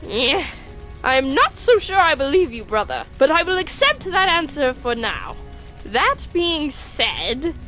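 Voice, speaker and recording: A woman voicing an earnest, fussy young male skeleton in a comic dub, loud, with emphatic, formal diction. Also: sing-song, slow pace, exaggerated voice. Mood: worried, earnest. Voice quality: loud, high-pitched. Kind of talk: animated comic dub acting. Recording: music behind the voice.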